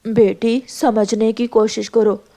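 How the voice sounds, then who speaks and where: A woman voicing a gentle, reasonable father bird in a moral-story cartoon, measured and reassuring. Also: a little high, flat delivery.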